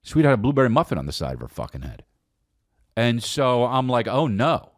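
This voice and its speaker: Male American podcast host, mid-pitched and highly animated, casual comedic storyteller who rambles and repeats for emphasis. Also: fairly quick, no room sound, clean studio sound. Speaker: podcast host telling personal stories.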